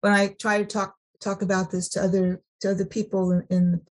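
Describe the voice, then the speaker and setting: A middle-aged woman from California sharing her personal story at a recovery meeting, halting, with many restarts and repeated words. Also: medium pitch, level tone. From Sacramento, California.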